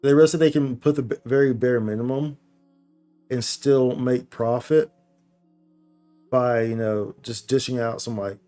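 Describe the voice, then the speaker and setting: A man's voice: medium pitch, fairly even intonation, unhurried, pauses often, dark tone, soft background music. A man chatting casually on a live gaming stream, slangy and playful, rambling and often repeating his phrases. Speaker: game streamer, host.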